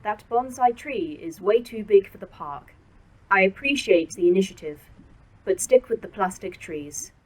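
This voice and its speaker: An actress playing a fussy station AI who tends the garden and corrects others' work. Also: female voice, mid-range voice, lively intonation, moderate pace, a little mellow, distant mic, lightly acted, rough recording. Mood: polite. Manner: fussy, instructive.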